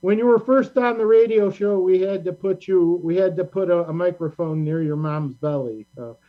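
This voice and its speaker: Higher-pitched man hosting, muffled sound. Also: normal speed. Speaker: host. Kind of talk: casual group chat. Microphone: video call. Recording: muffled online call with hum.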